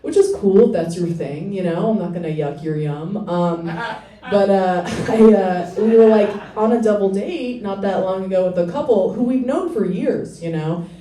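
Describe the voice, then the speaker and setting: A young woman with a deep voice doing a self-deprecating stand-up set, with hesitant fillers and dry delivery. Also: normal speed, warm tone. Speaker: stand-up comedian. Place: small comedy club stage with laughing audience. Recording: echoey club, audience laughter, from a distance.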